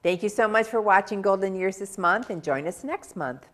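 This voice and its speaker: A woman's voice: medium pitch, animated, normal speed, a bit bright, faint music behind. A woman hosting a local television interview show, friendly and encouraging toward her guest. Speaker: talk show host.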